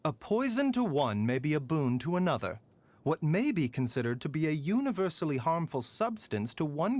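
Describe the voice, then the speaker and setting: A gentle, sly game doctor. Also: male voice, a little high. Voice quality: soft, measured, sighs and chuckles. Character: gentle male doctor, calm, courteous, faintly sly. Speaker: game character voice lines. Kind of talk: game voice lines.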